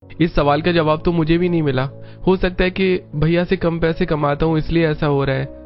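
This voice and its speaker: A man's voice: on the high side, steady tone, normal speed, lo-fi sound, faint music behind, natural voice. A man playing a dutiful, downhearted young married son in a cartoon family drama, mild and earnest. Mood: troubled.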